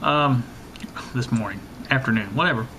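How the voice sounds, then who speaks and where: Man in his sixties, medium pitch, halting and rambling, casual how-to talk, noisy home video recording. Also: pushed and bright. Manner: hesitant, rambling. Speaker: hobbyist electronics repair host. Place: kitchen at home. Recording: clear background noise, amateur home video.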